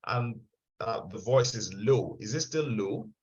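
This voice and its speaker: A man leads an online seminar in a mid-pitched voice, restarting phrases and repeating words as he goes. The sound is dull and lo-fi, as heard over a video call. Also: expressive, unhurried, darkish tone. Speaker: webinar presenter.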